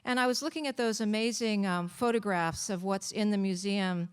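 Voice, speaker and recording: A woman hosting a live literary evening, giving a prepared introduction in a measured, formal and deliberate way. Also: medium pitch, clean tone. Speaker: event host introducing an author evening. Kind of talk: prepared introduction speech with practical notes.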